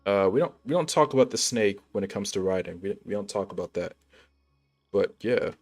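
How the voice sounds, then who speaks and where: Low-voiced man livestreaming a game. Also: animated, a little fast, darkish tone. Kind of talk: casual live commentary.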